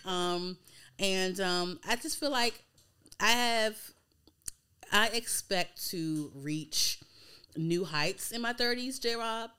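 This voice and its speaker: A woman's voice: mid-range voice, slow pace, bright, forceful tone, clear, resonant tone. An American woman of thirty hosting a casual podcast, chatting informally with slang and free swearing. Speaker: podcast host.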